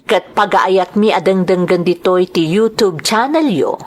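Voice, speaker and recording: Ilocano lady host reading letters and shoutouts, really slow measured announcer delivery, mid pitch. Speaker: radio-style drama host reading letters and greetings. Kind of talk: scripted narration, greetings and letter reading.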